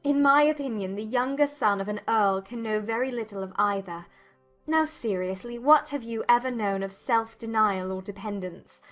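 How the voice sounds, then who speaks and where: A woman performing a young gentlewoman of Regency England in an audiobook drama. Her voice sits fairly high, and she is playful, arch and spirited in formal phrasing. Music plays behind her. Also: normal speed, natural voice. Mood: teasing, challenging.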